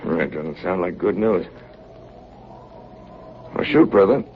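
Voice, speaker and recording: An actor playing a weary, loyal chief officer on a stranded Arctic expedition in a 1930s radio drama, plain-spoken and earnest. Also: male voice, low voice, takes it slowly, vocal fry, exaggerated voice. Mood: uneasy, shaken. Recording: dull, noisy 1938 radio broadcast recording.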